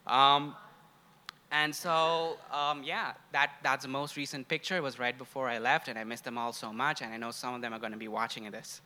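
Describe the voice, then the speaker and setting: Casting: young male preacher from Sri Lanka and India, very bright forceful voice, mid pitch, chatty storytelling delivery. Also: fairly even intonation, normal speed. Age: young adult. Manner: conversational, personal storytelling. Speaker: guest preacher, grad student. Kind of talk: informal sermon introduction to a congregation.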